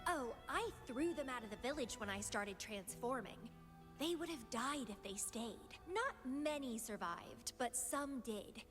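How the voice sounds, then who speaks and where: High-voiced, teasing, obsessive young woman. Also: lively intonation, measured pace. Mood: playful, casual. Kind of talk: anime-style video game voice acting. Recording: loud music behind the voice. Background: music.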